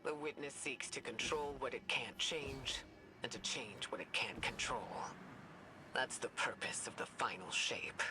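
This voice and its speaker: Grave, commanding mission ally. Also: female voice, rumbling low, lively intonation, unhurried, noisy background.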